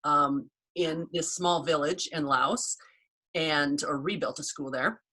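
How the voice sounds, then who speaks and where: Bright-voiced American woman hosting a call. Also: sits low, slow pace, low-quality recording. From United States. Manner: chatty storyteller, warm laughs. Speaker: host. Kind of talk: casual video call chat. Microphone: video call.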